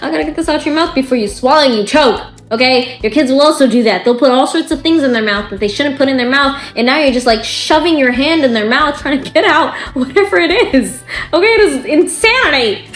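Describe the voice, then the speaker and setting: A young woman from Bangladesh chatting casually to camera as a mom vlogger, often tagging her lines with "okay?" and jumping higher to quote her toddler. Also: lively intonation, fairly quick. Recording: echoey room with faint music.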